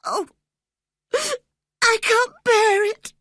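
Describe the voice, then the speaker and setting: A woman's voice: very high voice, lively intonation, takes it slowly, sharp tone, no room sound, voice pushed up, full character voice. A woman voicing a sickly, terrified teenage boy who sobs and pleads breathlessly. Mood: sobbing.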